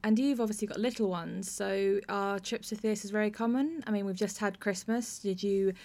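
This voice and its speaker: A woman's voice: mid-range voice, normal speed, gravelly, darkish tone. A woman with a British accent hosting a podcast in a wry, self-deprecating way, partly scripted and partly chatting. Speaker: podcast host. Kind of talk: scripted introduction and friendly interview.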